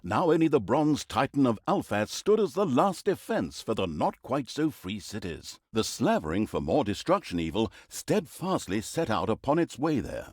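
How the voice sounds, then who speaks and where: A sardonic storyteller narrates a villain's deeds for a fantasy video game. His pitch swoops dramatically between mock grandeur and droll sarcasm. The sound is dry and close, with no room around it. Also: darkish tone, dry recording.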